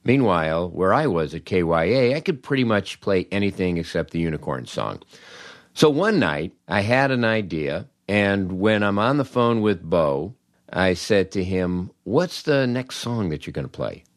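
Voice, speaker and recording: A man's voice: deep voice, sing-song, normal speed, no echo, clean studio sound. An older man hosting a podcast in a chatty, wry, emphatic storytelling manner. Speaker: podcast host and former radio disc jockey. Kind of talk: conversational storytelling and host-read ads.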